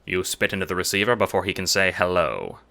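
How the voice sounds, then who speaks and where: A man's voice: low voice, big pitch swings, fast, vocal fry, bright, forceful tone, a little hiss or hum. A man narrating a scripted audio drama in the second person, with dramatic storytelling delivery.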